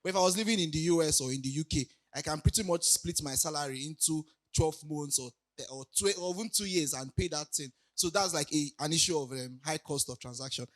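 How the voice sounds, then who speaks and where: A young man with a raspy voice from recent illness, giving a casual conference talk full of hesitations. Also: a little high, fairly quick, hardly any pauses. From Nigeria. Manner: hesitant, many ums and restarts, laughs lightly. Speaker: conference speaker. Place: conference hall.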